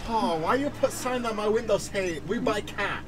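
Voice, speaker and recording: A man's voice: high voice, normal speed, fairly bright tone, slight background noise. A man improvising a knife-wielding joker who makes mock threats, using a put-on Mexican accent and laughing at his own jokes. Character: menacing joking man wielding a small knife. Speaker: streamer. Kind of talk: improvised comedy over gameplay.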